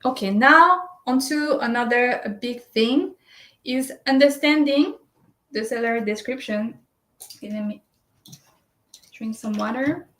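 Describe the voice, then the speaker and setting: A woman's voice: on the high side, takes it slowly, long pauses, fairly bright tone. A woman presenting a step-by-step screen tutorial, explaining as she goes and often checking in with "right?" and "uh". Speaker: shopping service presenter. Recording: roomy echo.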